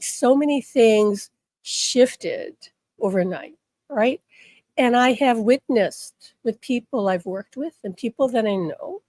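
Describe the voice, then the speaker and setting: A slightly hoarse woman giving an earnest spiritual talk, often checking in with the listener. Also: on the high side, darkish tone, dry recording. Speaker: spiritual teacher.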